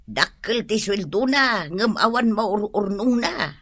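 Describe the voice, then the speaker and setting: A woman's voice: medium pitch, expressive, slow pace, fairly bright tone, dry recording, full character voice. An actress playing a scolding older mother of a stingy son in a radio comedy drama, with an Ilocano accent. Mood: resigned complaining.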